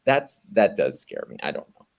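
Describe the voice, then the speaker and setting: A man's voice: low voice, sing-song, moderate pace, vocal fry. A man speaking as an author on a discussion panel, conversational, candid and earnest.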